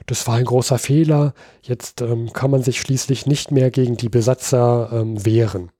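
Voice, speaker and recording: Podcast co-host from Berlin: relaxed, warm voice, hesitant and talky, recorded dry and close. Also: male voice, medium pitch, moderate pace, husky, no room sound. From Berlin, Germany. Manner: explains at length, hesitant with many fillers. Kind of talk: casual history podcast chat.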